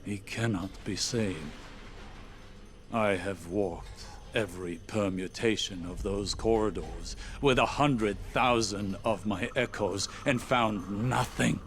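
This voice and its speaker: Slow, solemn, weary male mentor. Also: on the low side, fairly bright tone, a little hiss or hum. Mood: somber, regretful. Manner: solemn, deliberate, portentous delivery. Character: grave, weary male time-walking mentor, somber and resigned. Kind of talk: dramatic scripted video game cutscene monologue.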